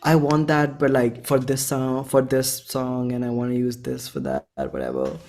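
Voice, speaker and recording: A man in his twenties with an Indian accent, chatting casually as an interview guest and retelling exchanges in slangy, animated quotes. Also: medium pitch, moderate pace. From Bhopal, India. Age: 20s. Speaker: interview guest, singer-songwriter. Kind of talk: casual chat.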